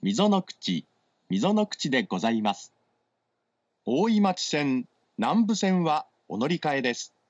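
Noisy, lively-pitched man station announcer. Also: a little slow, dull audio.

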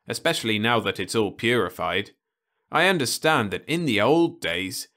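A man's voice: on the low side, expressive, moderate pace, sharp tone, voice pushed up. A man voicing an earnest young man insisting everyone is content, in a storybook reading.

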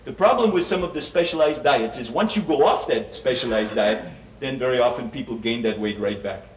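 A man's voice: medium pitch, a little fast. A middle-aged man with a Polish accent gives a comedic health talk to an audience, joking and calling them "my friends". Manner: jokey, addresses the audience as "my friends". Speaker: health educator. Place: meeting room with a seated audience. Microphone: stand mic at a distance. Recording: roomy hall, distant mic, music behind.

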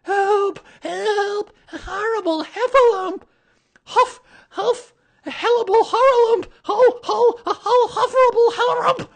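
A performer voicing a small, timid piglet in a storybook, with a squeaky, strained voice and a frantic, stammering manner. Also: drawn-out words, heavily acted. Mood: terrified.

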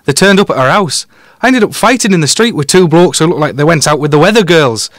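A man's voice: fairly high pitch, expressive, quick pace, a bit bright, dry recording, studio quality. A man playing a cocky, sardonic detective in an audio drama, blunt and drily sarcastic, with a Teesside accent. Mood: aggrieved. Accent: Teesside English. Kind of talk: audio drama staged as a podcast.

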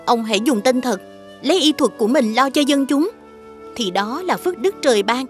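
A woman playing a gracious, righteous young princess in an animated fairy tale, composed and formal in her speech. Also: sits high, moderate pace, faint music behind. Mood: firm, composed. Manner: composed, gently admonishing, formal.

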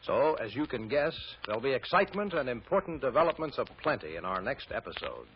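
A man's voice: medium pitch, sing-song, fairly quick. An American man narrating a radio serial in a formal, dramatic announcer's style. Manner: formal, dramatic teasing delivery. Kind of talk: old-time radio scripted narration. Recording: muffled vintage radio recording, faint noise.

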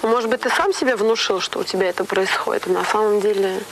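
A woman's voice: medium pitch, fast, background noise. A woman hosting a reality show, questioning a contestant in a probing, persuasive way. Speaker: host. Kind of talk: casual reality-show chat.

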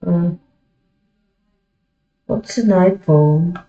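A woman gamer chatting casually in short, halting questions and replies, in a roomy, muffled recording. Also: on the low side, warm tone, soft background music. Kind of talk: casual game voice chat.

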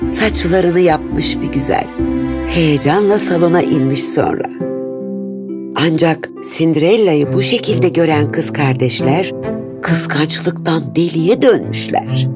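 A woman's voice: sits low, takes it slowly. A woman reading a scripted children's fairy tale as narrator. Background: instrumental music.

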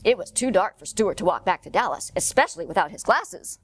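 A middle-aged woman storyteller reading a picture book aloud to children in an expressive way. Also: medium pitch, a little fast, no echo. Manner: expressive, clearly paced for children. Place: indoor room, standing before a painted wall.

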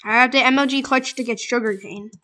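A young woman playing a block-building game with a friend, chatting casually, excitable and insistent, dropping to murmurs while she plays. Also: fairly high pitch, fast. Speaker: gamer. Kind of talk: casual let's play chat.

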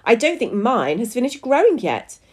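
Woman doing a squeaky, stubborn storybook mum. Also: big pitch swings, moderate pace, slightly rough, heavily acted. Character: squeaky, stubborn, competitive married woman gardener.

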